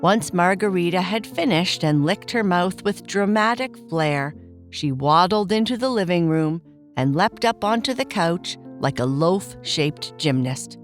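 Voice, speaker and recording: Woman storyteller, lower-pitched, lively gentle bedtime read-aloud for kids. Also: a little slow, faint music behind.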